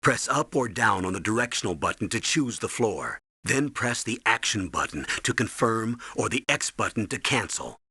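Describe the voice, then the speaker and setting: A stern American colonel briefing an operative in a video game. His low voice is husky and forceful, with clipped, instructional authority. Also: big pitch swings, moderate pace, few pauses, no room sound, full character voice. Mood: instructive. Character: stern older military colonel giving mission orders by radio. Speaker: mission commander and briefer.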